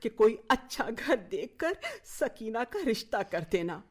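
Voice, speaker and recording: Wronged unmarried aunt, radio play, high voice, slow, tearful, bitter, accusing. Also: female voice, big pitch swings, a little mellow. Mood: bitter reproach. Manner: tearful, accusing, drawn-out delivery. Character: aggrieved unmarried aunt, bitter, wailing at her brother.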